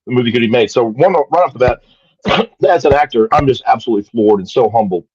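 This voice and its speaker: A man's voice: mid-range voice, animated, brisk, a little mellow, breathy edge, no room sound. Upbeat male actor, dull dry line. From Texas. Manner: upbeat anecdotal storytelling. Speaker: actor guest. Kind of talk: casual interview chat.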